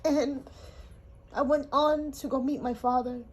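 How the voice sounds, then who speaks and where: A woman from Hawaii, telling her life story in a halting, emotional way with pauses. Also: high voice, fairly even intonation, husky. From Honolulu, Hawaii. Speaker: interviewee. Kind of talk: personal testimony.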